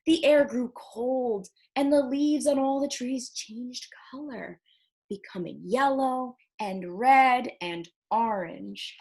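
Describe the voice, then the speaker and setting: A woman librarian reading picture books aloud to children, expressive and encouraging, often putting questions to her young listeners. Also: on the high side, takes it slowly, poor audio.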